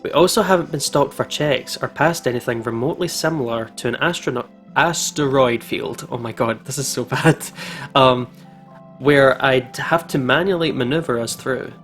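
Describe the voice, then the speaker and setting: Male gaming co-host, medium pitch. Casual chat and read-aloud, informal and reactive, music underneath. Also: normal speed, a bit bright. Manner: informal, reacts with exclamations and banter. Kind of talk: casual let's-play chat and reading aloud. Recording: music playing behind the voice. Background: game music.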